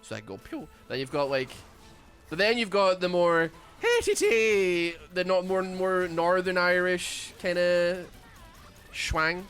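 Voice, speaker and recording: A man's voice: high voice, a little slow, a bit bright, soft background music. A Scottish man with a Glaswegian accent and a lisp, mumbling and rambling in casual chat while streaming a game. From Scotland. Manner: mumbling, rambling with many fillers.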